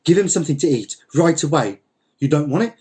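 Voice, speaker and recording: A man's voice: on the high side, animated, fairly quick, mellow, voice pushed up, lightly acted. A man voicing a panicky, blustering landowner in a dramatic story, exasperated and repeating his commands. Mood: insistent, blustering.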